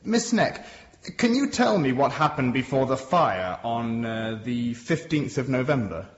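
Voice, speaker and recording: A man's voice: medium pitch, animated, unhurried, lightly acted. An actor playing a deferential, careful Victorian male barrister, formal and slightly hesitant, in a radio drama. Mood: formal, patient. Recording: echoey courtroom, slightly muffled.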